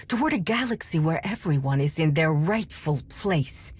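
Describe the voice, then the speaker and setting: A woman's voice: deep voice, lively intonation, moderate pace, a little mellow, husky, heavily acted. An actress playing a resolute, commanding female rebel leader in a cinematic video game. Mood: zealous, commanding.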